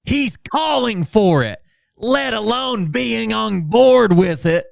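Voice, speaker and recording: Man putting on a pompous, high-pitched conspiracy believer. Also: expressive, gravelly, no echo, studio quality, full character voice. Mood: grandly insistent. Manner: over-dramatic, drawn-out, insistent declaiming. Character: overwrought male conspiracy believer, melodramatically pompous.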